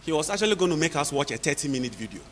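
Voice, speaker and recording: A man's voice: fairly high pitch, big pitch swings, racing, fairly bright tone. Man speaking casually in a reverberant hall. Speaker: symposium speaker. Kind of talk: casual remarks to an audience. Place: conference hall. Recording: hall reverb with light noise.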